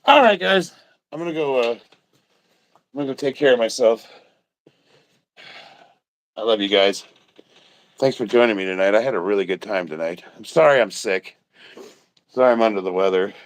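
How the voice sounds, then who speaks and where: A congested man in his fifties, short of breath, chatting casually on a livestream, swearing and often clearing his throat. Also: on the low side, normal speed, pauses often. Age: 50s. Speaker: livestreaming powerlifter.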